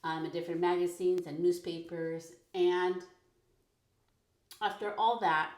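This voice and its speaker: A woman in her thirties hosting a personal podcast, talking frankly and casually, full of "like" and quick laughs. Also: fairly low pitch, steady tone, darkish tone. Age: 30s. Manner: chatty, frank, peppered with "like", laughs often. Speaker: podcast host. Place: her bedroom recording corner. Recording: echoey home room.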